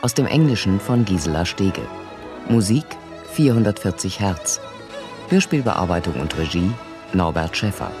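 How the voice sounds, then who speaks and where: Deep-voiced male drama announcer over music. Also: lively intonation, normal speed. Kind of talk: formal scripted credits announcement. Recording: music playing under the voice.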